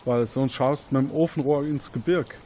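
A man voicing a grudging, folksy Bavarian guard in an audio mystery game, with a gruff manner and a Bavarian accent. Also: mid-range voice, fairly even intonation, normal speed, mellow, slight background noise, close to an everyday voice.

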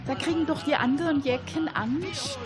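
A woman's voice: sits high, moderate pace, music in the background, voice pushed up, lightly acted. A woman playing a chatty, anxious older hobby detective in an amateur radio crime play. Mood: fussy, playful.

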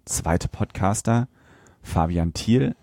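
A man co-hosts a podcast in a fairly low, mellow voice. He banters informally and often cuts in with questions. The recording is very dry and close. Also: slow pace, no room sound. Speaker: podcast co-host.

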